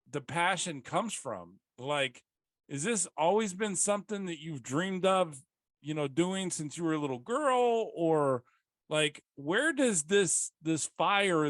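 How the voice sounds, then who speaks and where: A man's voice: on the high side, fairly bright tone, dry recording, studio quality. A man from South Texas hosting a casual interview podcast, chatty and joking, with many fillers. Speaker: podcast host.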